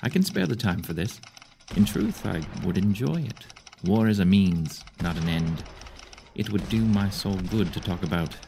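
An actor playing an older Roman general in an audio drama, authoritative and deliberate, kindly yet shrewd. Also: male voice, sits low, lively intonation, creaky, dark tone, a little hiss or hum. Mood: kindly, reassuring.

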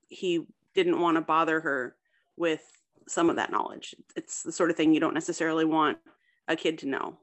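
A woman's voice: low voice, a bit bright. A woman guest author speaking casually in an interview, hesitantly piecing her explanations together. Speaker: guest author discussing own story.

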